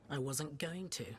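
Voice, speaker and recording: A woman's voice: deep voice, slight background noise. A woman voicing a dutiful, guarded templar officer in a video game, dry and clipped with wry exasperation. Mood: surprised, exasperated. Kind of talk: video game cutscene acting.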